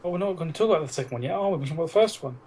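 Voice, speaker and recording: A man with a fairly high voice chats casually as a podcast co-host. He is blunt and teasing and swears freely. There is light noise behind him in what sounds like a home recording. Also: animated, very fast, a little mellow. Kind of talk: casual banter about films.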